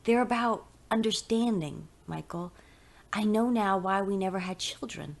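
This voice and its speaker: Woman acting fervently devout, excited wife Karen. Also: medium pitch, unhurried, creaky. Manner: enthusiastic, insistent.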